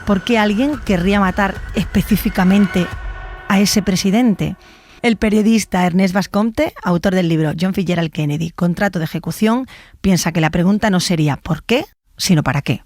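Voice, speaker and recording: A woman's voice: medium pitch, normal speed, a little mellow, no echo. A woman narrating a scripted true crime documentary as a podcast host.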